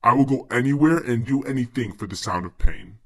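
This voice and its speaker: A man voicing a gloating, menacing demon who bargains slowly and theatrically in a comic book story. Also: medium pitch, animated, a bit bright. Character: sinister male demon, gloating, smooth-talking bargainer.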